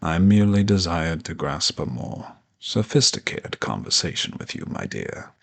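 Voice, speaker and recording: Man voicing a deep, gravelly, haughty dragon professor. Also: big pitch swings, normal speed, a bit bright, full character voice. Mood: condescending, softening. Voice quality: gravelly, husky low voice. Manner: pompous, condescending, ornate formal diction. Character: haughty ancient dragon professor, male, condescending yet flirtatious. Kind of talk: scripted audio roleplay monologue addressed to the listener.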